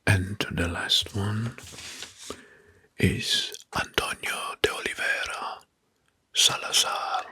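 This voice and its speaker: Slow, breathy whispering man, ASMR. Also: lowish voice, fairly even intonation, bright, forceful tone, no room sound. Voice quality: breathy, mostly unvoiced whisper. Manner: deliberate, pausing in short phrases, often repeating words. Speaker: ASMR host presenting history facts. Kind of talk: whispered ASMR history narration. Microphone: close microphone.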